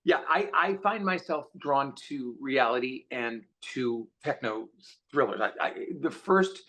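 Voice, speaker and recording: A man's voice: mid-range voice, lively intonation, unhurried, fairly bright tone. Chatty man with a mid-range voice. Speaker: science fiction author, guest. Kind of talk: casual panel conversation.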